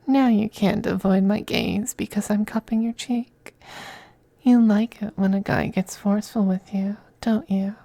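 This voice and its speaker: A man playing a possessive, teasing femboy vampire in an intimate ASMR roleplay, speaking softly and breathily, often in a whisper, with coaxing, condescending affection. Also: high voice, creaky, dry recording, full character voice. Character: possessive, teasing, doting femboy vampire master styled as mistress.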